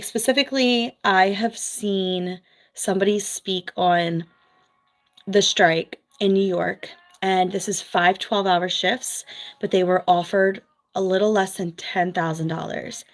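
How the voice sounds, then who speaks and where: A woman from New Jersey with a Philadelphia accent, chatting frankly with viewers as a former nurse. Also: mid-range voice. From US East Coast, New Jersey. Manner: chatty, frank, quick asides with laughs. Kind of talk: casual livestream chat reading viewer comments.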